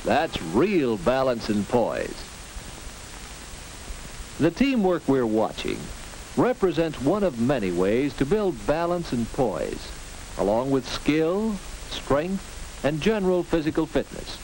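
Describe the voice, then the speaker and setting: A man's voice: medium pitch, very animated, slow, a little mellow, rough recording. A man with an American accent reads scripted narration in the instructive style of a mid-century educational film, speaking directly to the viewer. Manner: instructive, clearly addressing the viewer. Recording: old film soundtrack with hiss, clicks.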